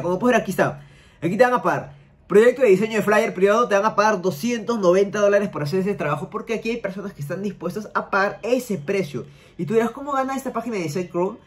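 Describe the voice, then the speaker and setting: A young man hosting a screen-share tutorial, giving direct and repetitive step-by-step instructions to the viewer from a small room at home. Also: high-pitched. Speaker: YouTube money-making tutorial host.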